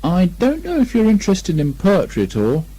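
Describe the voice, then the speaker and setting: A man voicing a good-natured, slow-witted bear from a children's storybook, hesitant and earnest. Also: high-pitched, huge pitch swings, dark tone, breathy edge, voice pushed up, full character voice, low-quality recording. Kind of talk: children's storybook character reading.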